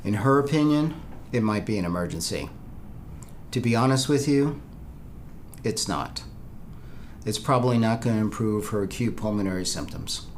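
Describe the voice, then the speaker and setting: A man's voice: lowish voice, animated, moderate pace, long pauses, slight background noise. A man playing a pushy, frustrated surgeon in a conversational training role-play. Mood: pressing. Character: pushy, frustrated male surgeon impatient with delays. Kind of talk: role-played training dialogue.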